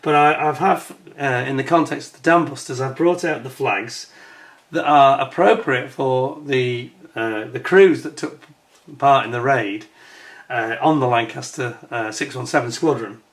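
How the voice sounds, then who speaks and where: An older man from the United Kingdom with a croaky voice and a chesty cough, chatting casually to camera as host of his own channel, rambling with plenty of fillers and restarts. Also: medium pitch, unhurried. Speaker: YouTube host.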